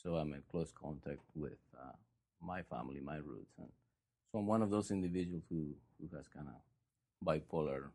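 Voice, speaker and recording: Peruvian-born man, forties, deep gravelly voice, easygoing and wry. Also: moderate pace. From Peru. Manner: relaxed, joking. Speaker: guest. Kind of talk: casual chat.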